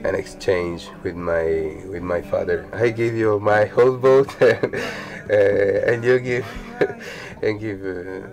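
A man in his thirties with an Italian accent, a sailboat owner showing his boat in a casual tour, talking haltingly and searching for English words. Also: fairly low pitch, darkish tone. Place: outdoors on a sailboat deck and cabin. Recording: background music.

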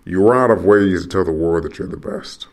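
A young American man with a very low voice hosts his own podcast alone. He rambles restlessly, circles back over the same phrases and swears now and then as he rants. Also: a little fast, gravelly, darkish tone. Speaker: podcast host. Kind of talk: rambling solo monologue.

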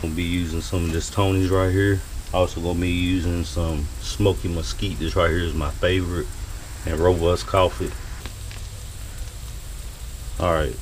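A man's voice: deep voice, steady tone, moderate pace, long pauses. A man, talking casually to viewers in a laid-back drawl while cooking outdoors at a grill, picked up by a camera mounted above it. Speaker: home cook vlogger. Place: outdoors at a grill beside a house. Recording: noisy outdoor camera audio.

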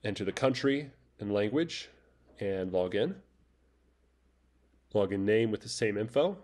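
Male tutorial presenter, lowish voice, easygoing and hesitant delivery. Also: a little slow. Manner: relaxed, hesitant, many fillers and restarts. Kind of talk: casual screen-recorded tech tutorial. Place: voice-over over screen recording.

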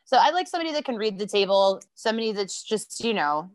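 A woman's voice: mid-range voice, fast talker, dry recording. Giggly woman telling stories. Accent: American. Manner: laughs often, animated storytelling. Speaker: podcast guest. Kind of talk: casual chat.

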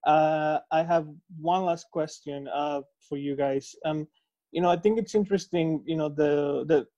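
Even, mellow male interviewer, echoey call. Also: fairly high pitch, measured pace. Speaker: interviewer, film critic moderating. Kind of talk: casual Q&A moderation. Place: room at home. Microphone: video call on phone or laptop. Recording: dull, echoey video-call audio.

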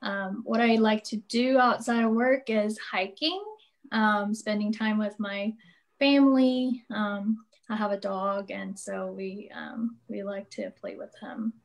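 A woman's voice: mid-range voice, fairly even intonation, takes it slowly, creaky. Cheerful woman pain doctor. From South Korea. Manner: cheerful, light laughs. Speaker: pain management physician. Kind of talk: self-introduction in a clinic video panel.

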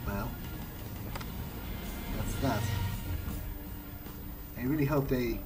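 A man's voice: mid-range voice, drawn-out words, over music. A man improvising in character as he plays a video game, thinking aloud hesitantly. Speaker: gamer playing in character. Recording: clearly noisy, game ambience underneath. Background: video game ambience.

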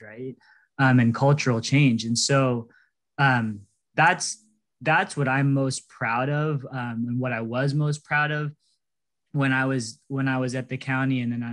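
A man in his thirties on a video call, speaking earnestly as a panelist, with frequent restarts. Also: medium pitch. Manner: earnest, conversational, many restarts. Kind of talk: panel discussion. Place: indoors, small room. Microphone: laptop webcam on a video call.

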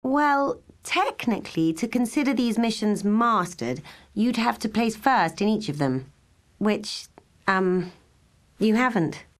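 Lively spy car. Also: female voice, mid-range voice, takes it slowly. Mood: tactful. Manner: proper, technical, fussy. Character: prim precise young female British spy car.

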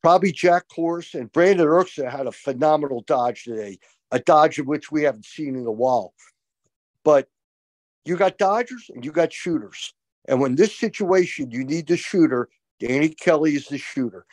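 An older man talks about a game with emphatic conviction and animated excitement. His voice sits a little high and sounds dry and close. He is recorded through a webcam on a video call from a small room. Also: normal speed, no room sound. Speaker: co-host, college lacrosse analyst. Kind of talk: excited postgame sports talk.

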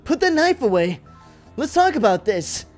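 A man's voice: very high-pitched, sing-song, normal speed, soft background music. A man voicing an anxious, pleading teenage schoolboy, urgent and startled, in a visual novel read-aloud. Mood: alarmed.

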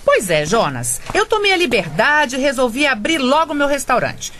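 A woman's voice: sits high, big pitch swings, normal speed, fairly bright tone. An actress playing an ambitious wife who coaxes her husband in a radio drama. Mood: coaxing. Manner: coaxing, wheedling. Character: scheming ambitious wife and cook.

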